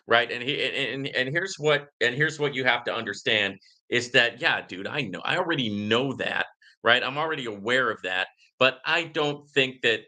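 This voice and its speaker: A man's voice: medium pitch, bright, forceful tone. A man hosting a casual live Q and A, talking in an outspoken, emphatic way and often checking in with "right?". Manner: outspoken, emphatic, repeatedly seeking agreement with "right?". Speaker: livestream host answering viewer comments.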